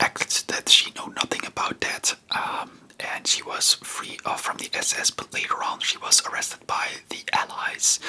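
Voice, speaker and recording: A non-native English speaker hosting ASMR, chatting informally about history in a soft, breathy whisper with a rambling, hesitant manner. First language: not English. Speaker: ASMR video creator and host.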